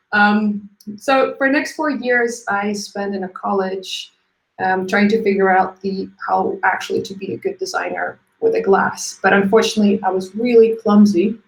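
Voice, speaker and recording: A woman gives an informal, light-hearted talk as a guest designer on an online call. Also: mid-range voice. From Latvia. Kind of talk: informal career talk presentation. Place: indoors, on a live video call. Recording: roomy, echoey online call.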